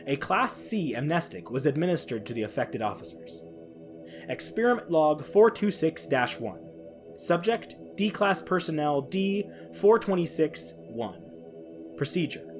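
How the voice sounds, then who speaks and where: Male podcast host, fairly high voice with very animated intonation, faint music underneath. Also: a little slow. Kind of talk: dramatic reading of a science-fiction horror log; casual podcast sign-off.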